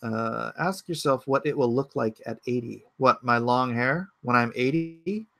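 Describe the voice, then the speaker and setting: A man's voice: medium pitch, unhurried, creaky, fairly bright tone, dry recording. A man in his forties hosting a casual livestream from his home computer, rambling and thinking aloud as he answers chat comments. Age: 40s. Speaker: livestream host.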